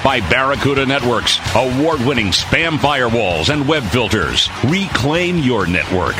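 A man reading scripted radio promos in a dramatic, drawn-out announcer voice. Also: mid-range voice, sing-song. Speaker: station announcer. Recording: music bed under voice.

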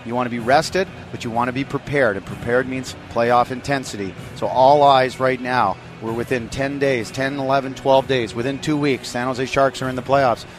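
A man giving radio sports commentary in an energetic, conversational way. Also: medium pitch, moderate pace, hardly any pauses. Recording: music bed under voice.

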